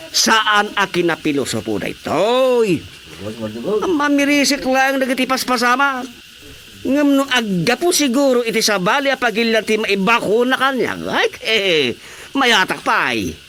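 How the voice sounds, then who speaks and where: A man acting a stubborn, excitable village councilor in a radio comedy drama, scoffing and arguing with an Ilocano Filipino accent. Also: very high voice, a little slow, heavily acted. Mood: defiant.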